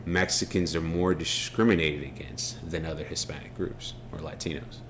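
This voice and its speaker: Co-host, man with a very low voice, reflective and unhurried, faint noise in the room. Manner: relaxed, reflective, halting restarts. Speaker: podcast co-host. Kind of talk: casual unscripted conversation.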